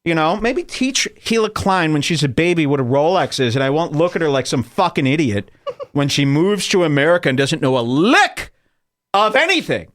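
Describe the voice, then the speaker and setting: Rich: A man with a higher-than-usual voice that swings widely in pitch, riffing through a provocative comedic rant as a podcast host. The sound is close and dry, with no room around it. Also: big pitch swings, moderate pace, fairly bright tone, no room sound. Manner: loose, provocative, laughs at own jokes.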